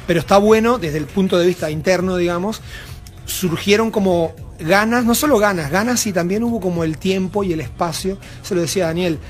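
Man, uruguayan accent, high voice, rambling interview chat, faint music in background. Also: a little fast. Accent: Uruguayan Spanish. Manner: rambling, many fillers and restarts. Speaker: band member, interview guest. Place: backstage at a music venue.

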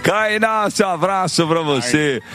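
Chatty Brazilian radio sports host. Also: male voice, on the high side, expressive, a little slow, bright, forceful tone, faint music behind. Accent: Brazilian Portuguese. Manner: chatty, reads listener messages aloud. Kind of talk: live radio call-in chat.

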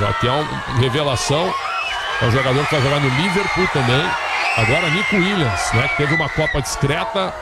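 A Brazilian man working as a sports broadcast commentator, giving live, unscripted running commentary with frequent tag questions. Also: medium pitch. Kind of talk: live ad-libbed commentary on a team leaving its hotel. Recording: noisy urban crowd ambience. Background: loud crowd outdoors.

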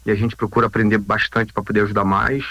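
Rio guy in his 50s being interviewed, bright punchy voice, repeats himself, pretty dry recording. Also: fairly low pitch, flat delivery, dry recording, dull audio. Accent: Brazilian, Rio de Janeiro. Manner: halting, repeats phrases, frequent tag questions. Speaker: interview guest, volunteer rescue group founder. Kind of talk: informal spoken interview.

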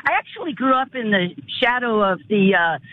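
An older woman from north of Chicago calling into a radio show, talking in a halting way and repeating words. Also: fairly high pitch, expressive. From northern Illinois. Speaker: caller. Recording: phone line with faint noise.

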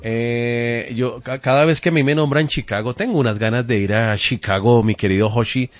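A man's voice: mid-range voice, moderate pace, no echo. A man hosting a radio interview, chatty and rambling with many hesitations. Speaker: radio host. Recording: dull lo-fi radio audio, faint music.

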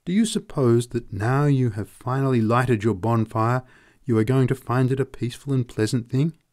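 A man's voice: mid-range voice, a little mellow, voice pushed up, natural voice. Lovesick, bitter man, raised and heated, read by a male narrator. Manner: pleading and sneering by turns, emotional. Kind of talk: audiobook character reading.

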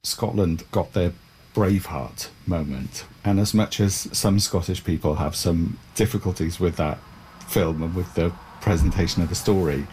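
A man's voice: deep voice, normal speed, darkish tone, slight background noise, rough recording. A middle-aged man from Wales, a guest in an interview, telling stories in a thoughtful, digressive way. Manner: thoughtful, digressive, laughs while recalling. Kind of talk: relaxed storytelling interview.

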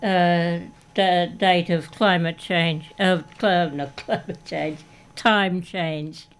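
A woman co-hosts a community radio show in a smooth, clear voice. She speaks slowly and hesitantly, filling her pauses with ums and uhs. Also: lowish voice, fairly even intonation. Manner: hesitant, lots of fillers. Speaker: radio co-host. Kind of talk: casual radio chat.

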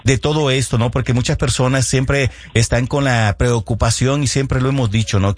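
A man hosting a radio show, talking straight to his listeners in a calm, reassuring way and repeating his key points. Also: mid-range voice. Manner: reassuring, repetitive, addressing listeners directly. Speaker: radio host. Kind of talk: radio call-in advice.

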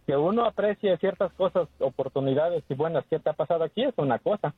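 Husky guy sounding off on radio, phone-line tinny, kinda higher voice. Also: quick pace, no echo. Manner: opinionated, emphatic. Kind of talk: radio commentary.

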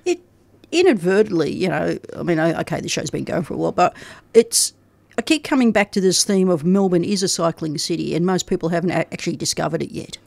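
Rambling gravelly middle-aged male host. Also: high voice, animated, fast talker, no room sound. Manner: rambling, many restarts. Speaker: show host. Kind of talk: casual interview chat.

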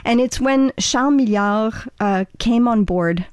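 A woman's voice: a little high, measured pace, darkish tone, dry recording. A middle-aged woman from Ontario, a political candidate answering interview questions in an earnest, chatty way with many fillers and restarts. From Ontario, Canada.

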